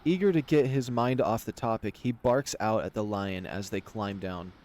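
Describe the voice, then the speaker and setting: A man's voice: fairly low pitch, normal speed, darkish tone. A man reading scripted fantasy story narration as the narrator of a visual novel.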